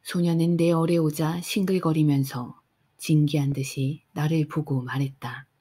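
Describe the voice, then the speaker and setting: Woman narrator, bedtime audiobook, soft, unhurried, deep voice. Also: steady tone, breathy edge. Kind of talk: calm bedtime audiobook reading.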